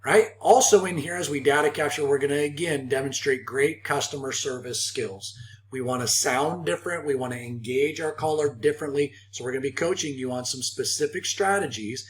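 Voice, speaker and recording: A man's voice: mid-range voice, brisk. A man giving an instructional training talk as a coach, explaining step by step, stressing key words and often checking in with the listener. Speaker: phone skills trainer.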